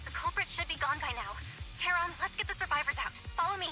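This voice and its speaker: An actress voicing a small, earnest, caring companion in a video game, with urgent delivery. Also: female voice, extremely high pitch, animated, fast talker, over music. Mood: decisive. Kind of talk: game voice acting.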